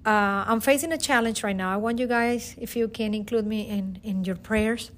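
A woman's voice: fairly high pitch, normal speed, a little hiss or hum. A middle-aged woman from Venezuela with a Venezuelan Spanish accent, a teacher giving an earnest, emotional personal testimony with many restarts. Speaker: teacher and guest.

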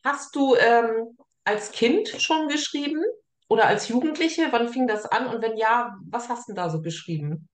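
A woman's voice: a little high, normal speed. A woman hosting a casual interview in an informal, encouraging way, recorded with a roomy echo. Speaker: interviewer, host. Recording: roomy, echoing sound.

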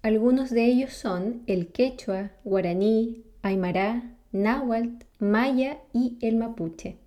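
Mellow-voiced Chilean woman, friendly explainer. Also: fairly high pitch, slow pace. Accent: Chilean Spanish. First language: Spanish. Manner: friendly, asks the viewer questions, laughs often. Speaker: vlogger. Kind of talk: explanatory talk to camera.

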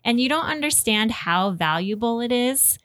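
A woman's voice: a little high, lively intonation, normal speed, a bit bright, no room sound, studio quality. A woman hosting a podcast, chatting casually and effusively in a conversational interview style. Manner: enthusiastic, effusive. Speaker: podcast host.

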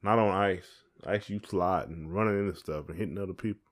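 Man, low voice, casual podcast host, informal colloquial phrasing, conversational. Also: a little fast.

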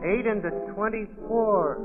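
An American actor playing a simple, good-natured, curious bartender in a folksy, puzzled 1940s radio style. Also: male voice, high voice, a little slow. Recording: tinny old radio recording, reverberant, music behind.

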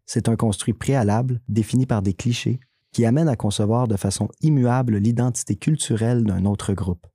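A man, co-hosting a podcast with slow, deliberate academic narration. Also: medium pitch, mellow, husky, dry recording. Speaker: podcast co-host.

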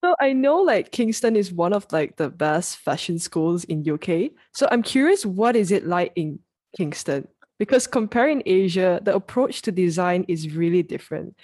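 A woman's voice: fairly low pitch, expressive, normal speed, a little mellow, no room sound. Curious, giggly woman podcast host. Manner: curious, giggly, follows with questions. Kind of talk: casual podcast interview.

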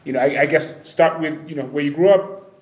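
A man's voice: fairly high pitch, fairly quick, a little mellow. Chatty upbeat male podcast host. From Kenya. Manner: chatty, upbeat, frequent restarts. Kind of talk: casual podcast interview. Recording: roomy sound with faint ambience.

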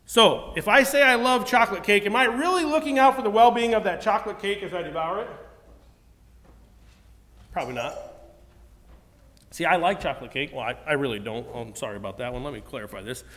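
A high-voiced man gives a sermon in an earnest, conversational way, often stopping to restart his sentences. His voice rings out with a long reverb behind it. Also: fairly quick, pauses often, bright, forceful tone. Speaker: preacher.

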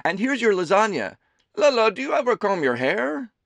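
Man doing a loud, chirpy diner mom. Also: sing-song, moderate pace, creaky, no echo, exaggerated voice. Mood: teasing. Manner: loud, theatrical, high chirpy voice. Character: doting gossipy middle-aged diner mother, brash.

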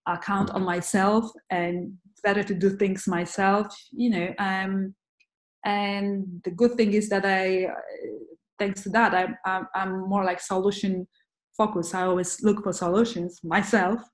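A woman's voice: mid-range voice, flat delivery. A woman from Poland talks earnestly as an interview guest, often restarting her sentences as she thinks aloud. Her voice sits in the middle of the range, and a roomy echo hangs around it. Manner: earnest, halting with many restarts. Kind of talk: conversational interview.

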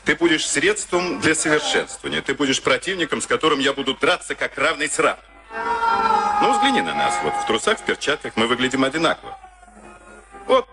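An actor playing an arrogant, sadistic SS officer with false geniality and a commanding, mocking way of talking, in a radio play. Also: male voice, a little high, sing-song, fairly quick. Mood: smug. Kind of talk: Soviet radio play acting. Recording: archive radio play with loud music.

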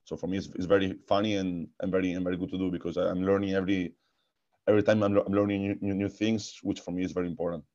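Italian guy, very low voice, stumbles and repeats himself a lot, kinda lo-fi sound. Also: level tone, racing, darkish tone. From Italy. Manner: hesitant, many repeated words and restarts. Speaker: guest, futsal coach and technical director. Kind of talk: casual interview answers.

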